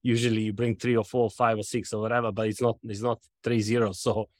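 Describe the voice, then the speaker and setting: This is a middle-aged man from Serbia with a Serbian accent, speaking in a fairly low voice. He talks haltingly, repeating words and filling gaps as he builds his answers. The recording is dry and close, with little room sound. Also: level tone, no room sound. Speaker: sporting director of a soccer club. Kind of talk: podcast interview.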